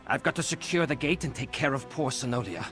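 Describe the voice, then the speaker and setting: A man's voice: medium pitch, animated, fast, a bit bright, faint music behind, lightly acted. An actor playing a harried, defensive male city guard in a fantasy video game, grumbling and curt. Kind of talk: video game character acting.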